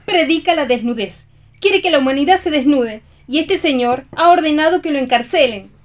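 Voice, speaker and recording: Una actriz interpreta a una mujer caída, apasionada y desdeñosa, que defiende su amor con tono teatral en un radioteatro. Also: female voice, high-pitched, expressive, normal speed. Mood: indignant. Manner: theatrical, indignant. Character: scornful, passionate fallen woman defending her love. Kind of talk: theatrical radio play reading.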